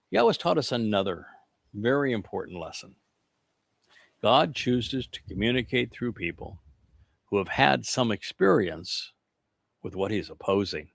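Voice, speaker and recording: A man with a bright, forceful tone delivers an earnest scripture lesson in an emphatic preaching cadence. He drifts into rambling asides with soft chuckles, and the recording is dry and close with little room sound. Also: fairly low pitch, vocal fry, no room sound. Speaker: religious teacher. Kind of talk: scripture teaching with casual asides.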